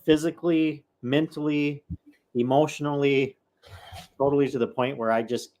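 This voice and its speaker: A man's voice: a little high, steady tone, moderate pace, darkish tone. A man co-hosting a casual podcast, speaking loosely with fillers and searching for words. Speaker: podcast co-host. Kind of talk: casual chat.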